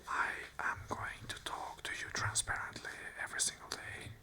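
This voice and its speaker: An ASMR host whispering an unscripted monologue of earnest, repeated affirmations in short phrases. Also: normal speed. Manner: whispers the whole way, soft and unvoiced.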